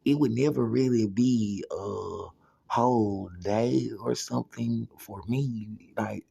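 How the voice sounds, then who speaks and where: Gravelly husky man rambling. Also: medium pitch, takes it slowly, mellow, no echo, clean studio sound. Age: 30s. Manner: rambling, repeats "you know" constantly. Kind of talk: rambling personal monologue.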